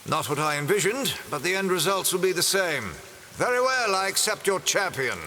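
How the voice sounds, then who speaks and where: Man acting a high-pitched, pompous villain. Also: expressive, moderate pace, slight background noise, exaggerated voice. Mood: menacing, smug. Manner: pompous, theatrical. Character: grandiose villainous male art collector, obsessed with immortality. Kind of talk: fan audio drama acting. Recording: voice under loud music.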